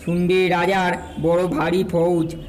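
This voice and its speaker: Slow-spoken storybook king , acted out. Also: mid-range voice, flat delivery, a little mellow, slight background noise, close to an everyday voice, low-quality recording. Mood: indulgent. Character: troubled, fond old-tale king. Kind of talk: audio story character acting.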